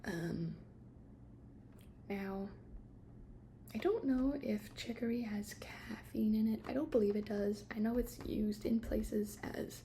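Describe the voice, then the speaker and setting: A woman doing a whispered ASMR shop roleplay as an attendant, explaining things gently in a hesitant, rambling way. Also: on the high side, creaky, a little hiss or hum.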